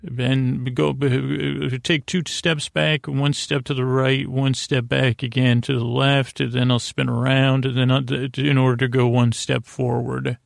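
Creaky-voiced man rambling soothingly. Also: medium pitch, little pitch movement, unhurried, few pauses, dry recording, studio quality. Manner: meandering, long-winded, self-interrupting. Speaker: podcast host. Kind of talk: rambling, soothing bedtime monologue.

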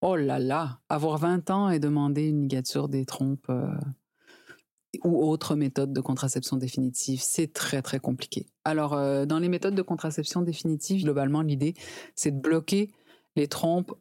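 A woman's voice: low voice, fairly even intonation, normal speed, warm tone, no echo, clean studio sound. A woman in her forties explaining things conversationally as an interview guest, emphatic and given to acting out little quotes. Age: 40s. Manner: emphatic, illustrates points with mimicked quotes. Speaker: interview guest, reproductive health specialist.

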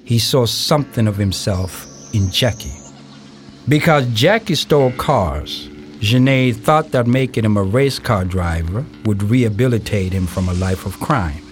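A man reading scripted narration for an audio play in a measured storytelling way. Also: on the low side, animated. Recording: music behind the voice. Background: music.

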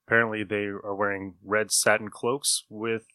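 A man hosts in a casual, unscripted way. His voice is slightly low but bright and crisp, recorded very dry and close. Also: flat delivery, moderate pace, no echo. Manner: informal, conversational. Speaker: podcast host.

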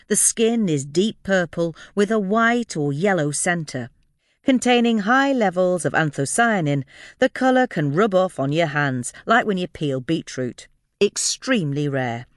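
Female podcast narrator, medium pitch with lively intonation, very dry close sound, measured scripted article reading. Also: no echo. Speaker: podcast episode reader.

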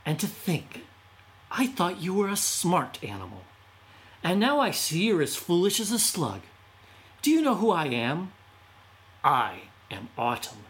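A man's voice: high voice, wildly swooping pitch, a little slow, pauses often, fairly bright tone, voice pushed up, full character voice. A man voicing old man Autumn, a proud spirit who paints leaves, in a testy, dismissive manner in a storybook reading. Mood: scornful.

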